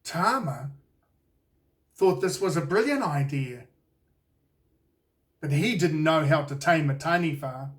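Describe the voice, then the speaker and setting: A man with a New Zealand accent reading a children's storybook aloud in a deliberate, expressive storytelling way. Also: fairly high pitch, far from the mic. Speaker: children's author reading his own book. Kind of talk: scripted storybook narration for children.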